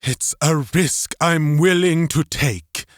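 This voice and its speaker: A man voicing an earnest, determined idealist in an audiobook, speaking haltingly and with conviction. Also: a little high, dry recording, clean studio sound, pitched above the performer's own voice. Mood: solemn, determined. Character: earnest, resolute young idealist defying his superiors. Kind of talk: audiobook character acting.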